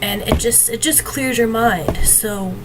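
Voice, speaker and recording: A woman's voice: a little high, measured pace. A young adult woman vlogging casual advice, earnest and rambling with many fillers and restarts, her voice slightly off from being a little sick. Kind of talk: casual advice talk to camera. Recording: noticeable background noise.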